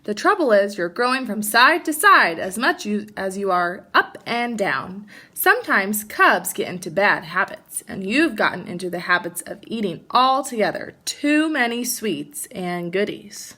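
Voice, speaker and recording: A woman's voice: mid-range voice, sing-song, unhurried, few pauses, bright, forceful tone, exaggerated voice. A woman voicing a firm, sensible mother bear in a children's storybook, speaking in a no-nonsense way. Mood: firm, scolding. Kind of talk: children's storybook read-aloud.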